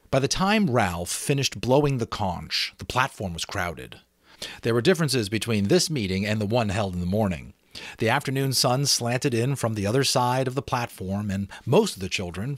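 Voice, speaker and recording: A man's voice: low-pitched, no room sound. A man narrating expressively in an audiobook style, as an amateur host with a casual touch.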